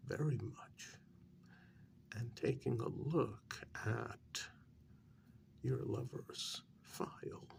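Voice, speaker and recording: A performer acts out a patient, probing official in an ASMR role-play. The voice is a soft half-whisper, heavy with gravelly fry. Every phrase comes very slowly and deliberately, with gentle reproach. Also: mid-range voice, exaggerated voice. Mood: measured, sympathetic. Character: patient, probing official questioning a renegade vampire.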